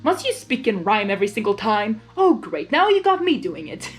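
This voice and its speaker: A woman's voice: medium pitch, sing-song, moderate pace, faint music behind, natural voice. A woman voicing a cheerful, mischievous male draconequus who talks in chatty, theatrical exclamations for a story reading. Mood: cheerful teasing.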